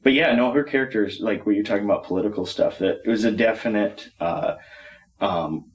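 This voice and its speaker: A man's voice: on the low side, fairly quick. A man chatting casually as a panelist, talking in loose, rambling phrases. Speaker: panelist on a hobby talk show. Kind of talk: casual chat. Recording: distant mic, faint room noise.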